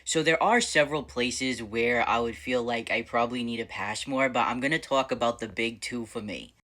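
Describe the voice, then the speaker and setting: Bubbly bright-voiced man telling stories. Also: normal speed. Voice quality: fairly high voice. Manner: bubbly, animated storytelling. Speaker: vlogger answering viewer questions. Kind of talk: casual personal storytelling to camera.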